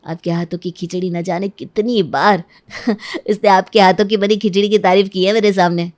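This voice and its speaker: A woman's voice: mid-range voice, fairly even intonation, moderate pace, a little mellow, lightly acted. A woman voicing a friendly young man, a family friend in a cartoon story, polite and persuasive. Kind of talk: Hindi cartoon story acting.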